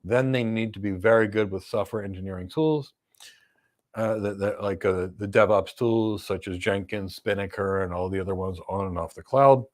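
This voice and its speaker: Emphatic low-voiced American man. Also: normal speed. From United States. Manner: emphatic, blunt one-word verdicts. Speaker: host, cloud career coach. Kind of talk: live Q&A answering viewer questions.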